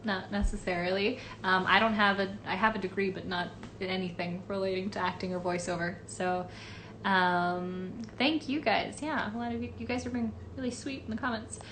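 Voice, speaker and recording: A woman's voice: mid-range voice, fairly even intonation, normal speed, fairly bright tone, a little hiss or hum. A young woman from Los Angeles, a voice actress casually answering fan questions on a live stream, reading comments aloud with lots of fillers.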